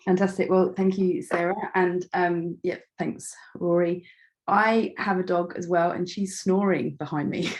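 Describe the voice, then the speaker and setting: A middle-aged woman with a slightly croaky voice, giving an informal, self-deprecating talk about herself as a guest speaker, often feeling for her words. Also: fairly low pitch, fairly even intonation, unhurried. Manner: chatty, self-deprecating, hesitant phrasing. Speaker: start-up founder and guest speaker. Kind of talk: informal online talk about own background.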